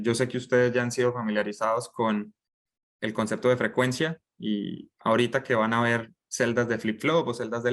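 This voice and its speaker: A man's voice: mid-range voice, a bit bright. A young man with a Colombian accent, speaking as a guest lecturer and doctoral student in an informal online class. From Bucaramanga, Colombia. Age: young adult.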